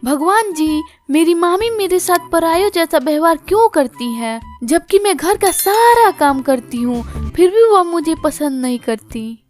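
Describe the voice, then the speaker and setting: A woman's voice: very high-pitched, expressive, unhurried, hardly any pauses, darkish tone, faint music behind. A woman voicing a sad, pleading young orphan village girl in a cartoon story. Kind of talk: Hindi cartoon story dialogue.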